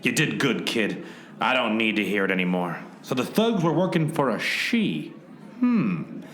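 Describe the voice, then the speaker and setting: Hard-boiled noir detective, played by a man, wry and brooding, very animated delivery, roomy sound over faint music. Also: lowish voice, unhurried, slight background noise. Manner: melodramatic, wry. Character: hard-boiled male private eye, theatrically brooding. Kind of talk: 1940s noir radio play narration and dialogue.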